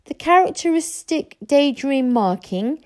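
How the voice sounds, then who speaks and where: A woman's voice: sits high, lively intonation, measured pace, pitched above the performer's own voice. A woman voicing an earnest boy who explains things with solemn expert airs, in a storybook reading. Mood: respectful lecturing.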